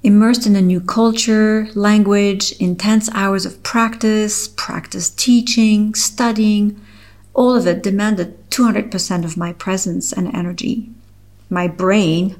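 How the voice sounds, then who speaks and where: A middle-aged woman with a French accent, a French native speaker from Switzerland, reading her own personal essay aloud in a slow, measured, earnest way. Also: mid-range voice, fairly even intonation, darkish tone. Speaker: author reading her article.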